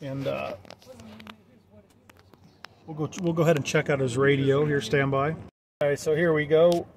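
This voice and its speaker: A man's voice: a little high, fairly even intonation, normal speed. An American man giving an informal, enthusiastic walk-around commentary outdoors, using plenty of slang. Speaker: vlogger filming a CB radio meetup. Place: outdoors in a gravel parking lot. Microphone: handheld camera or phone mic. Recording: clear outdoor background noise.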